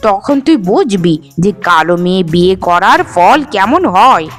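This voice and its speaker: A medium-pitched female voice acted by a woman plays a middle-aged cartoon mother. She is domineering and scornful, with a bossy, scolding delivery. Background music accompanies her. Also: sing-song, moderate pace, lightly acted. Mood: stern. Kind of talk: Bengali cartoon dubbing, melodrama. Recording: music behind the voice.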